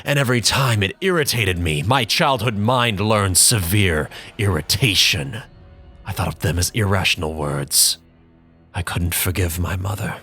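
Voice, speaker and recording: A man performing a bitter young man who looks back on his mother with contempt, reading in a measured, brooding way with dramatic pauses. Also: low voice, lively intonation, sharp tone, slightly rough, faint music behind, full character voice. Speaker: narrator in character.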